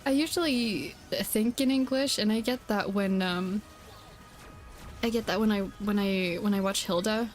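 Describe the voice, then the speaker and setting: Woman streamer chatting haltingly, music behind. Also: medium pitch. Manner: hesitant, rambling, self-correcting. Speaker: game streamer. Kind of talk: casual chat while playing a game. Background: background music.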